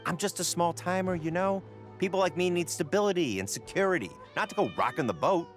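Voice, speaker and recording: A fretful small-time merchant in a video game, on the high side and swinging widely in pitch. He is cautious and complaining, in colloquial speech. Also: animated, brisk, soft background music. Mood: defensive, grumbling. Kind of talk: video game character dialogue.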